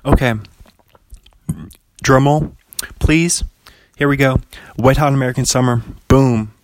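A man's voice: mid-range voice, fairly even intonation, a little slow, no echo. Rambling, chuckling male podcast host. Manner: rambling, chuckling, heavy use of "like". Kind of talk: casual solo podcast monologue.

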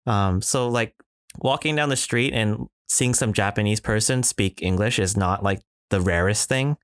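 A man's voice: lowish voice, normal speed, clean tone, no room sound, studio quality. A man in casual podcast chat, reflective, with many fillers and restarts. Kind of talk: casual conversation.

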